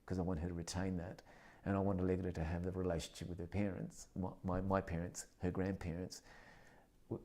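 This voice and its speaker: A deep, mellow-voiced Australian man in his fifties reflects on his life as a teacher. He speaks in a measured, thoughtful way, pausing and restarting phrases as he gathers his ideas. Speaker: secondary school teacher. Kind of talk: reflective interview testimony.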